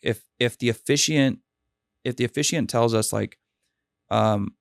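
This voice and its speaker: Animated man telling wedding stories. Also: medium pitch, a little slow, creaky, no room sound, studio quality. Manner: animated storyteller, acts out quotes. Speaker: wedding DJ and podcast host. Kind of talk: casual podcast chat.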